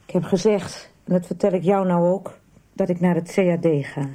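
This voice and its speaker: An actress plays a lonely, troubled mother in a nineties radio soap. Her voice is slightly low and very mellow. She speaks in short, downcast phrases, as if confessing. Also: little pitch movement, brisk, close to an everyday voice. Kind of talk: 1990s Dutch radio soap acting.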